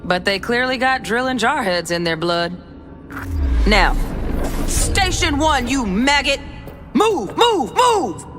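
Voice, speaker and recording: Irreverent female hacker, swinging pitch, measured pace, sarcastic drill-sergeant game dialogue. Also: sing-song, over music. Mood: barking. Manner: sarcastic, playful.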